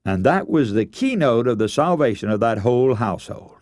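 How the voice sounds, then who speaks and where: A man's voice: medium pitch, very animated, moderate pace, a little mellow, dry recording, studio quality. A man preaching a radio sermon, earnest and emphatic, telling stories and posing rhetorical questions.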